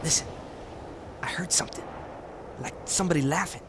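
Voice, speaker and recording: An actor playing a jumpy, blustering, slangy tough crook in an old-time radio drama. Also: male voice, on the high side, fairly quick, natural voice. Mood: jumpy defiant. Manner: slangy, blustering, stammering when rattled. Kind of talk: 1940s radio drama acting. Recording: clear background noise.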